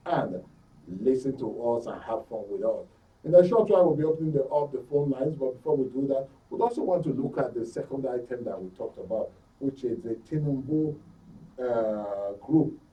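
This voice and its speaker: A man's voice: mid-range voice, dark tone, far from the mic, low-quality recording. A man with a Nigerian accent hosting a live current affairs show on radio and TV. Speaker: radio and TV talk show host.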